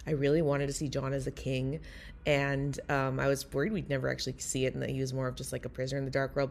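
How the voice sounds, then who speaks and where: A woman's voice: extremely low pitch, slight background noise. A woman recording a listener voice message of enthusiastic, earnest fan commentary. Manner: excited, earnest.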